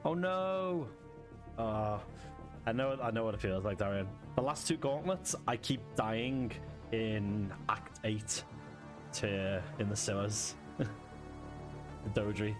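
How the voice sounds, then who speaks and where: Low-voiced Liverpool man streaming over music. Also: slow pace, pauses often. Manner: chatty, thanks chat, frequent chuckles and fillers. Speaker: game streamer. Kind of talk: relaxed live-stream chat. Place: home. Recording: clear background music.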